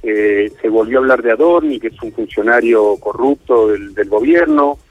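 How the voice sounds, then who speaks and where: Male guest correspondent, Argentine, husky voice, conversational commentary over a dull remote line. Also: mid-range voice, normal speed, soft background music. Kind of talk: casual spoken commentary and anecdotes. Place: remote call into a radio show. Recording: muffled, roomy remote call.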